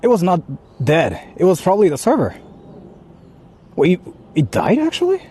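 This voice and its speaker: A young man with a husky, mellow voice that swings up and down freely as he chats. He talks casually to his stream viewers, tossing out loose asides while he explores outdoors. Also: high voice, wildly swooping pitch, normal speed. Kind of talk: casual live-streamed vlog. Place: outdoors exploring a rural area.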